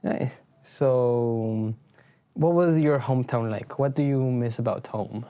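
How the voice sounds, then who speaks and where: A woman's voice: rumbling low, slow pace, dull audio. A woman from Mexico hosting an interview, asking short friendly questions and prompting the guest. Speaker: interviewer, host. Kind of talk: casual interview.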